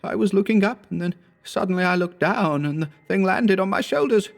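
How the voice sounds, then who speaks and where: Horror story: a terrified young man, shrill and sobbing, very high pitched. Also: expressive, fairly quick, vocal fry. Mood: terrified babbling. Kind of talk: dramatic horror story reading.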